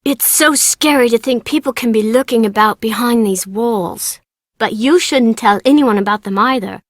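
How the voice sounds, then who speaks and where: A woman's voice: on the high side, moderate pace, no room sound, clean studio sound, close to an everyday voice. A woman voicing a young English girl for a video game, eager, bossy and lonely, with a childlike sing-song manner and a British accent. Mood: upset, distrustful. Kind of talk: video game character voice acting.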